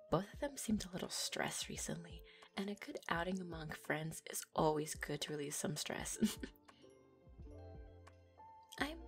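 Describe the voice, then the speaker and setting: A giggly, teasing young neko girlfriend, played by a woman in an audio roleplay, her voice bouncing up and down with lively swings. She takes her time, speaking unhurried and soft, with faint music underneath. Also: lowish voice, vocal fry, full character voice. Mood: quietly troubled.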